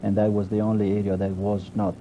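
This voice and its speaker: Deep raspy guy from afghanistan, slow and halting, hissy background. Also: monotone, lo-fi sound. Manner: halting, searching for words. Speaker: guest. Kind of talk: interview.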